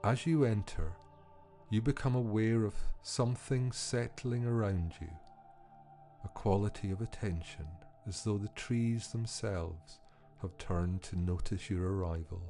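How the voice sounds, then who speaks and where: A man reading scripted guided-meditation narration in a soothing, unhurried manner with measured pauses. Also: lowish voice, fairly even intonation, dark tone, faint music behind. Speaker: podcast host and meditation guide.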